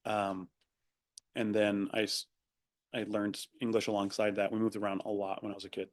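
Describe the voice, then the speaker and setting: A man's voice: lowish voice, level tone, normal speed. A young man whose first language is Spanish, raised in Argentina, talking thoughtfully as a podcast guest with many fillers and restarts. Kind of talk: casual interview conversation.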